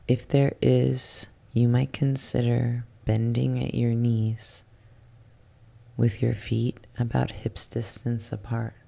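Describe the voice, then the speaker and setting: A soft, hushed voice guiding a yoga nidra relaxation in ASMR style, soothing and unhurried, slipping at times into a whisper. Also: medium pitch, vocal fry. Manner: soothing, unhurried, with long pauses. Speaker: guided relaxation instructor.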